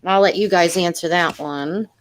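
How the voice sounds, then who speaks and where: A woman's voice: lowish voice, normal speed, slight background noise. Chatty woman host reading viewer questions. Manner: chatty and encouraging, says "y'all". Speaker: live-stream host. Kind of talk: casual live-stream chat, reading viewer questions.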